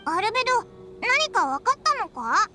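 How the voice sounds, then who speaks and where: A tiny, excitable fairy sidekick from an anime game. The voice is extremely high and squeaky, with very animated swings and blunt delivery. Music sits behind it. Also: female voice, moderate pace, exaggerated voice. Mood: questioning. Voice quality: squeaky, childlike. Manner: blunt, exaggerated, inquisitive. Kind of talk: Japanese anime game voice acting.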